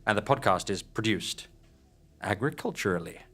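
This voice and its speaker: A man delivering scripted narration and show credits as host, in a measured, theatrical manner. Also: on the low side, expressive, a bit bright.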